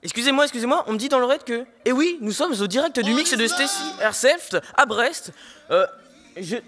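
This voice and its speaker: A man's voice: extremely high pitch, animated, fairly quick. A young man playing a mock-serious narrator of a scripted comic audio fiction. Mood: excited. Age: young adult. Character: mock-serious male storyteller and live announcer. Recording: hall reverb, music behind.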